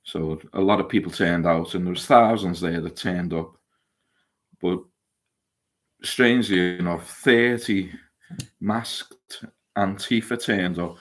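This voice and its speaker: A man's voice: deep voice, unhurried. A man co-hosting a casual live stream, talking hesitantly about news stories with lots of uhs and restarts. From Lancashire, England.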